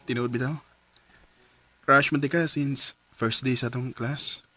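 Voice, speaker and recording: An actor playing a young male student who courts a classmate, speaking hesitantly with many pauses. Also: mid-range voice, steady tone, bright, forceful tone, faint music behind. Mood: shy. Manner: hesitant, halting, many ums and throat clears. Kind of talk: amateur radio drama acting.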